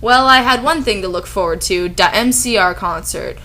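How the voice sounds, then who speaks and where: A woman's voice: mid-range voice, normal speed, bright, forceful tone. A woman voicing an angry, melodramatic teenage goth girl who narrates her own story in an overwrought, self-important way. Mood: sullen.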